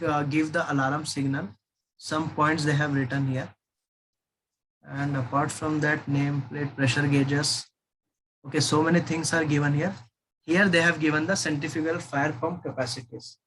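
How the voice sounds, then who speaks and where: An Indian-accented man with a slightly high voice works through a technical document like an instructor. His delivery is informal and halting, circling back over points as he explains. There is some faint noise in the recording. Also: a bit bright, poor audio. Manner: halting, repetitive, explanatory, with checking tags.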